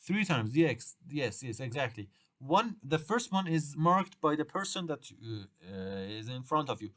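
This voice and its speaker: A middle-aged man with a Persian accent teaches an exam workshop in English, his second language after Persian. His pitch sits at an ordinary middle level for a man. He stops and restarts often, repeating short phrases before he pushes on. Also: very animated, a bit bright. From Iran. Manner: halting, restarts and repeats phrases, uneven grammar. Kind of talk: workshop lecture to students.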